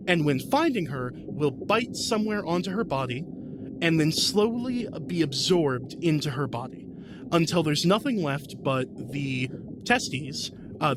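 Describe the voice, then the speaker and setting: A man's voice: fairly high pitch, noisy background. A man speaking as a podcast guest and game master, explaining fantasy lore casually in an enthusiastic, rambling way.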